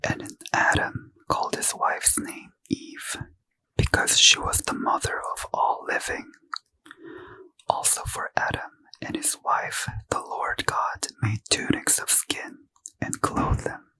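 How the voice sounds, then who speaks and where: A man whispers scripture for a bedtime ASMR session, unhurried and breathy with no voice at all. The sound is bone-dry and crisp, placed close to the microphone, with a bright hiss on every consonant. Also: no room sound, poor audio. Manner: slow soft whisper, unvoiced throughout. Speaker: reader. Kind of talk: whispered ASMR Bible reading. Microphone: close mic.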